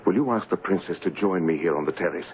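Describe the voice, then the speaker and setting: A man acting a deep-voiced gallant radio-drama adventurer. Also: quick pace, slight background noise, lightly acted. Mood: resolute. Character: brave gallant adventurer posing as a king, devoted lover. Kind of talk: radio drama acting and first-person narration. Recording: dull 1970s radio broadcast, music behind.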